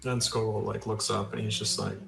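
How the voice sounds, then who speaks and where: A man's voice: lowish voice, level tone, fairly quick, gravelly, sharp tone, faint music behind. A man playing a tabletop game, casually describing his character.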